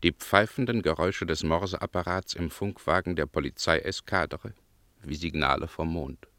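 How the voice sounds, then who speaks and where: Low, dry terse male observer. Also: moderate pace, fairly bright tone, no room sound. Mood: detached, terse. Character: detached male observer listing poetic city impressions. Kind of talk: poetic radio play montage, clipped phrases.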